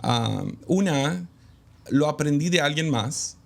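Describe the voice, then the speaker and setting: A man's voice: on the high side, animated, takes it slowly, creaky. A man speaking as a guest in an interview, reflective and candid, with many hesitant fillers. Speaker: guest, pastor's son and preacher. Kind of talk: conversational interview.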